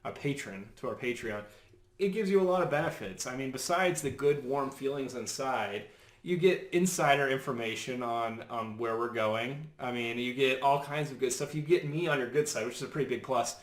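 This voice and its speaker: Male podcast co-host, average pitch, playful and cheeky, casual delivery, roomy echo. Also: moderate pace. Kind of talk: casual joking podcast chat. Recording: roomy, echoing room sound.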